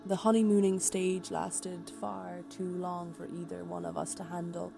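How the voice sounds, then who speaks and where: A woman's voice: fairly low pitch, little pitch movement, normal speed, gravelly. A woman acting a candid, wry young woman who recalls a doomed romance in poetic, darkly comic radio play narration, confiding and wistful. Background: music.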